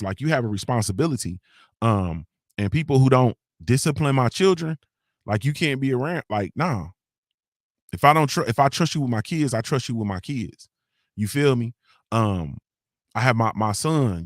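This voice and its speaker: A man's voice: mid-range voice, no echo, clean studio sound. A man hosting a morning show, chatting loosely and cussing often, with slangy storytelling and constant "you feel me" tags. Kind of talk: casual live-stream chat reading comments.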